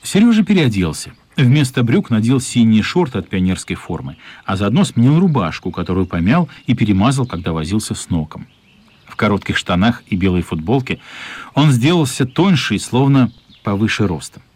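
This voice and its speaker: An actor narrating a children's radio play as an expressive, literary storyteller. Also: male voice, lowish voice, slightly rough.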